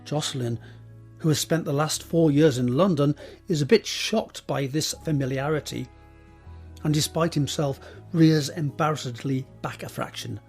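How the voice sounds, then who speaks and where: Husky male drama narrator. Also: a little high, moderate pace, a little mellow, faint music behind. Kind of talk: scripted narration of stage directions.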